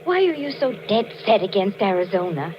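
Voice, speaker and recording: An actress playing an anxious, conscientious wife in a 1940s radio drama, earnest and urgently pleading. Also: female voice, medium pitch, big pitch swings, a little fast, close to an everyday voice. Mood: pleading, exasperated. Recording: muffled 1940s radio, noticeable hiss.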